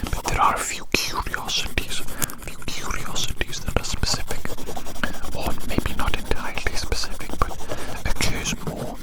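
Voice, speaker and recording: An ASMR performer whispering breathily right up close to the microphone in a slow ramble, softly repeating phrases.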